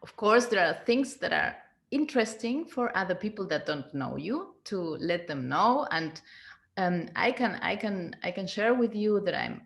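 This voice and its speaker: Slow-talking woman in her fifties. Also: medium pitch, animated, gravelly, a bit bright. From Argentina. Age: 50s. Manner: slow, searching, repeats words. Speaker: guest, leadership coach. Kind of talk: interview answers. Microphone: video call.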